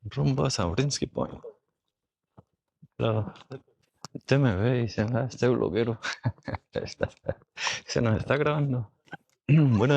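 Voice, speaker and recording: Hoarse man vlogging outdoors. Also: medium pitch, darkish tone. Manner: chatty, thinking aloud to viewers. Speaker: travel vlogger. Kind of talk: casual on-location vlogging. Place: outdoors in a desert national park.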